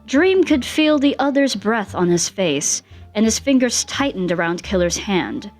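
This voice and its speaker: Animated woman narrating fan fiction. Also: mid-range voice, unhurried, soft background music.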